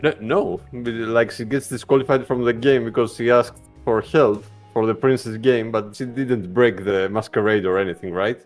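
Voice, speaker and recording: A man's voice: mid-range voice, fairly even intonation, moderate pace, few pauses, soft background music. A man talking casually through strategy as a player in a tabletop roleplaying game.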